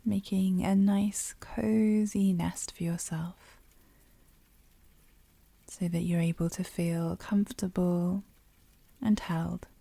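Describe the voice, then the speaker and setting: Slow, dark-voiced woman guiding meditation. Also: fairly low pitch, steady tone, dry recording. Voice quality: soft, breathy, often near whisper. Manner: soothing, gentle, unhurried pauses. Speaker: meditation guide. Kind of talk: guided yoga nidra meditation.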